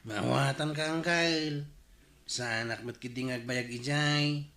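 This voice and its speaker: A man's voice: mid-range voice, steady tone, measured pace, bright, forceful tone. A man playing a reluctant young nephew with an Ilocano accent in a radio comedy drama. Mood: pleading. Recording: roomy, echoing studio sound.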